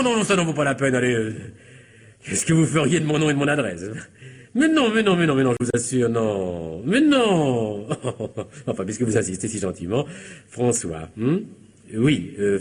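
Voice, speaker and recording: A nervous, scheming husband in a comic radio mystery. His husky, mid-pitched voice swings widely. He is flustered, stammering and piling up repetitions as he argues his case. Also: huge pitch swings, moderate pace. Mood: falsely polite, flustered. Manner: flustered, stammering, repeats himself. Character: nervous, scheming husband and son who botched killing his wife. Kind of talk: French comic radio mystery play acting.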